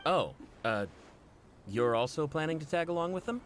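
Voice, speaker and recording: A man's voice: on the high side, animated, normal speed, very noisy, close to an everyday voice. A man playing a jovial young mercenary in a fantasy game, with a breezy, joking manner. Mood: doubtful. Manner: breezy, playful.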